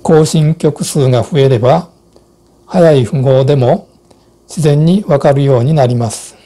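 A man in his sixties reading a scripted account and instructions in a measured, formal way. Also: mid-range voice, mellow. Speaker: co-host of an amateur radio Morse code channel. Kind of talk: scripted personal narration and instruction.

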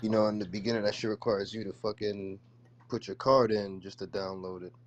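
A man's voice: fairly low pitch, steady tone, a little fast, lo-fi sound, a little hiss or hum. A man in casual chat, joking in heavy slang with swearing.

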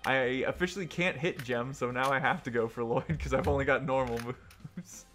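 A man's voice: mid-range voice, moderate pace, a bit bright. A man chats casually as he plays an online game. He is jokey and teasing, with a mid-range voice. Music plays behind him. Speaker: player and host of an online game video. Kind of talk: casual gaming commentary and banter.

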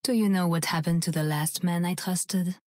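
A woman's voice: on the low side, steady tone, moderate pace, a little mellow, lightly acted. An actress playing a cold, aloof female sniper assassin with a French accent and a clipped, disdainful manner. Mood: cold, dismissive.

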